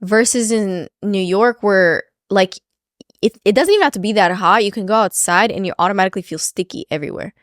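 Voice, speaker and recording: A woman's voice: mid-range voice, fairly quick, no room sound, clean studio sound. A woman co-hosting a podcast, sniffly from a cold, chatting casually with lots of likes and restarts. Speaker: podcast co-host. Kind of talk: casual chat.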